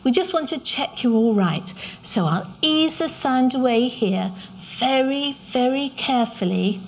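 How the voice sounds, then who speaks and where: Woman reading as a gentle little boy, soft and calming, a bit higher voice. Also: unhurried, slight background noise. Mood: soothing. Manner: soft and soothing. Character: gentle young boy, calm and kind.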